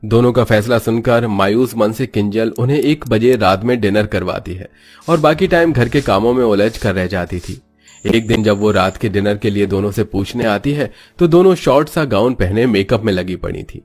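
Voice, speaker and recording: A man's voice: lowish voice, moderate pace. A man narrating a scripted moral story as a storyteller. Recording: music bed behind voice.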